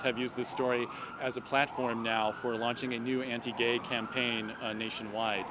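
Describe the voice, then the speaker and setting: A man speaking as a doctor and activist, explaining an issue in measured, articulate phrases during a street interview. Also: medium pitch. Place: outdoors on an urban street at a protest. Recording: noisy city street with traffic. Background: street and traffic noise.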